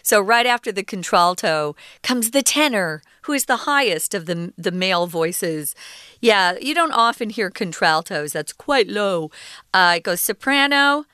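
A woman co-hosting an English lesson podcast, explaining words patiently in a relaxed conversational way with fillers. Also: medium pitch, smooth, no room sound, studio quality. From United States. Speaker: co-host teaching English.

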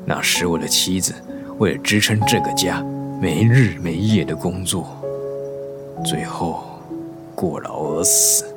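A low-voiced middle-aged father in a radio drama, full of regret over his gambling past. He speaks slowly and heavily, each phrase deliberate, over prominent music. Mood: bitter regret.

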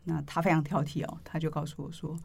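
A middle-aged woman with a Taiwanese Mandarin accent hosts a podcast interview, telling stories conversationally in deliberate, halting phrases. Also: deep voice, level tone, vocal fry, slightly rough. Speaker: podcast host, publishing editor-in-chief. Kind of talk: conversational interview with reflective storytelling.

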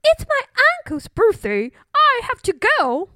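Storybook bully bird played by a woman: high, screechy, scoffing, swooping wildly in pitch. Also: very animated, drawn-out words, bright, forceful tone, no room sound, clean studio sound, exaggerated voice. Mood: frightened bluster. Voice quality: screechy, shrieking. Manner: scoffing, blustering.

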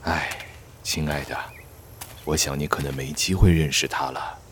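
A man's voice: rumbling low, fairly even intonation, normal speed, a bit bright, slight background noise. A man playing a grave middle-aged aristocrat in a radio drama, with solemn, theatrical delivery. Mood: grave, troubled. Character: grave middle-aged aristocratic father, reading a grieving letter. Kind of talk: Mandarin radio drama acting.

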